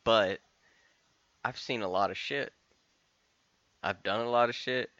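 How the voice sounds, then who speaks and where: A man's voice: lowish voice, a little slow, bright, forceful tone, no room sound, dull audio. A man of about thirty talking casually and candidly in a rambling solo podcast monologue, often restarting and swearing. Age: 30s. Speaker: podcast host.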